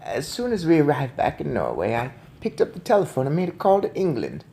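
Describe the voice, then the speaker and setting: A man voicing a loving, proud and crafty old Norwegian grandmother in a storybook, with measured, emphatic delivery. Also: fairly high pitch, huge pitch swings, vocal fry, warm tone, full character voice. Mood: proud, sly.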